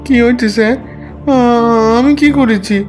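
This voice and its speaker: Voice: medium pitch, takes it slowly, darkish tone, soft background music, natural voice. An actor playing a cornered male petty thief in an audio story, meekly pleading innocence and repeating himself deferentially. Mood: alarmed innocence.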